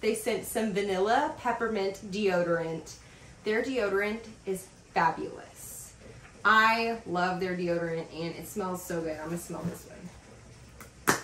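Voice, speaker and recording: A woman in her thirties chatting in a casual vlog as she unboxes packages in a home office, gushing and excited, with lots of fillers. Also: mid-range voice, unhurried, far from the mic, slight background noise. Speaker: vlogger. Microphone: camera across a desk. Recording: roomy sound from off the mic.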